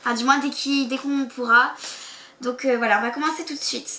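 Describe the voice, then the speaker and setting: A woman's voice: sits high, fairly even intonation, brisk, sharp tone. A teenage girl from France co-hosting a casual chat, talking with many fillers. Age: teens. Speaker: co-host. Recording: echoey room with faint noise.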